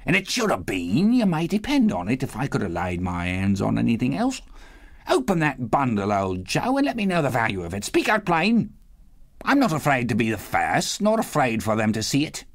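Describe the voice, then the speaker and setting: A man reading aloud, voicing a bold, coarse old charwoman who talks in a shrill, brash way. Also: wildly swooping pitch, normal speed. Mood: brazen.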